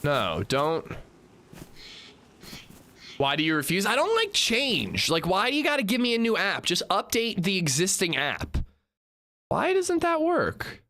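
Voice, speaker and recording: A man livestreaming and chatting casually with viewers, a bit congested, swinging between upbeat shout-outs and low mumbles. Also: huge pitch swings, a little slow, pauses often.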